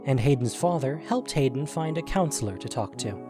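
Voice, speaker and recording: A man narrating a scripted audio drama in an expressive, measured storytelling manner. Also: medium pitch, music in the background.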